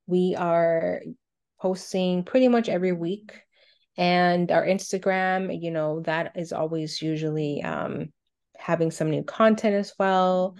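Low-voiced woman chatting on a podcast. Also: darkish tone. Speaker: podcast co-host. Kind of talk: casual podcast chat.

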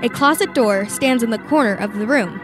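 Young female radio host, high voice, scripted announcing over organ music. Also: normal speed. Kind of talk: scripted radio introduction and narration.

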